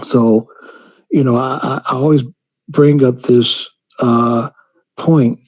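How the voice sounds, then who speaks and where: A man calling in to a talk show, speaking in a halting way with many fillers and restarts. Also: medium pitch, fairly even intonation, a little mellow, dry recording, low-quality recording. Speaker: caller. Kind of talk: call-in commentary.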